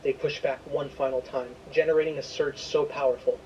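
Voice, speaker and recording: A man's voice: mid-range voice, level tone, a little fast, muffled recording, a little hiss or hum. A man reading a scripted, dramatic story as its narrator. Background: prominent music.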